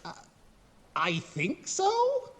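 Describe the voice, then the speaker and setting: A man's voice: very high voice, huge pitch swings, very slow, fairly bright tone, heavily acted. A man playing a young, eccentric mad doctor in a comedic horror audio drama, imperious and easily exasperated, stammering and bursting out theatrically. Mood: flustered.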